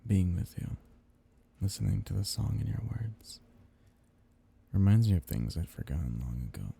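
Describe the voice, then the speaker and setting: A man playing a tender, possessive vampire count in an intimate ASMR roleplay, speaking in soft, teasing murmurs with a husky, gravelly voice. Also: deep voice, very animated, moderate pace, full character voice. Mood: wistful.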